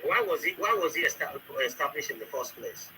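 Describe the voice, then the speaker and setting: A man's voice: a little high, animated, brisk, recorded from a distance, noisy background. A Nigerian man debating in casual talk, insistent and repeating his phrases. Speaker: discussion participant.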